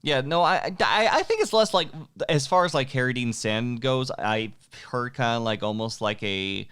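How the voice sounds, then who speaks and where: A man's voice: medium pitch, moderate pace, fairly bright tone, smooth, no room sound, studio quality. A man co-hosting a podcast, chatting casually and playfully, often trailing off mid-thought. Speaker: podcast co-host. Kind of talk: casual banter.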